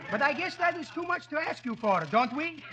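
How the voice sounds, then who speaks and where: A cheerful Greek immigrant character from old radio comedy, high in pitch and thickly accented. He muddles his words and grammar happily. The recording is noisy and crackles with age. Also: animated, a little fast, muffled recording. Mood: cheerful, chatty. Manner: malapropisms and mangled grammar. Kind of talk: 1930s radio comedy acting.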